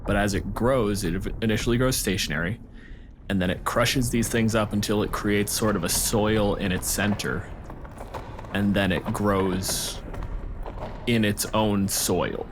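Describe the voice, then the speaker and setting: Low-voiced man on a noisy recording. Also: unhurried. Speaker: podcast co-host. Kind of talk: casual explanatory chat. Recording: clear background noise.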